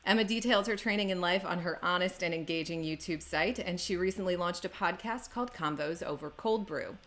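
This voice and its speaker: A woman's voice: lowish voice, fairly quick, fairly bright tone. A woman co-hosting a podcast interview, upbeat and laughing often. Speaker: co-host.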